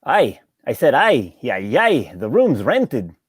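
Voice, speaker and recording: A man playing a thin, sickly, timid yet stubborn barber in a table read, with a husky voice and dry manner. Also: mid-range voice, wildly swooping pitch, takes it slowly, no room sound, full character voice. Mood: wary, indignant.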